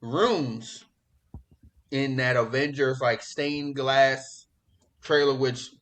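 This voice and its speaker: A man hosting a podcast in casual pop culture chat, talking in an excitable, slangy, profane way. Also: medium pitch, extremely slow. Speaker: podcast host.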